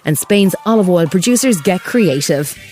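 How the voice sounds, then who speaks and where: A woman's voice: lowish voice, animated, moderate pace, mellow, soft background music. A woman presenting a podcast with scripted news narration.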